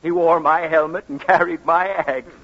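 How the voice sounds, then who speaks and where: A folksy male servant from an old radio comedy, droll and a little hesitant. His voice is husky and pitched slightly high. The recording is a muffled vintage broadcast. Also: fairly even intonation, normal speed. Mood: chuckling, reminiscing. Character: folksy, loyal, chuckling male household servant. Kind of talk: 1940s radio comedy acting.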